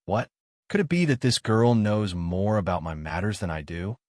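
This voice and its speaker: A man's voice: lowish voice, animated, normal speed, darkish tone, no echo, studio quality, natural voice. A man voicing a brash, cocky young male fantasy hero in an audiobook, exclaiming and scoffing as he talks. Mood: scornful. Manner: exclamatory, scoffing, self-interested.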